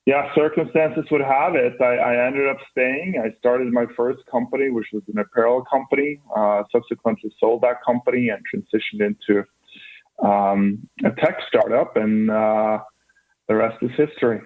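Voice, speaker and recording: A man's voice: mid-range voice, fairly even intonation, normal speed. Norwegian guy in his 30s, earnest founder, lots of uhs and restarts, phone-line sound. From Norway. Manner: earnest, with frequent restarts and fillers. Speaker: startup founder guest. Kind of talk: radio interview answers. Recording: band-limited phone line.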